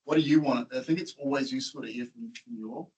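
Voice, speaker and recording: A man's voice: mid-range voice, fast, darkish tone, breathy edge, rough recording. A man presenting at a tech meetup, chatting casually with the audience, quizzing them and laughing often.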